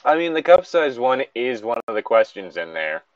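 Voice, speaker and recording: A man's voice: mid-range voice, very animated, moderate pace, no echo, highs cut off. A man in a casual joking chat, swearing freely as he reads aloud and comments as a co-host.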